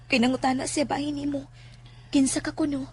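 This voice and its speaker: A woman's voice: high-pitched, flat delivery, fairly quick, warm tone, natural voice. A woman playing an anxious, suspicious teenage schoolgirl who stammers and questions in a horror audio drama. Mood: uneasy, defensive.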